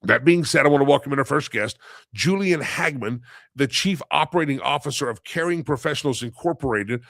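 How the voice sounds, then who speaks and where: A man's voice: mid-range voice, a little fast, creaky, fairly bright tone, dry recording, studio quality. A man hosting a live talk show, rambling with lots of fillers and a slightly nasal head cold.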